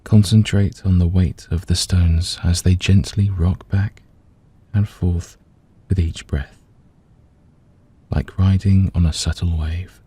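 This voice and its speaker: A man gently and calmly leading a scripted guided meditation, letting his voice soften at the ends of phrases. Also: sits low, slow, slightly rough. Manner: gentle, calm, soothing, hushed at phrase ends.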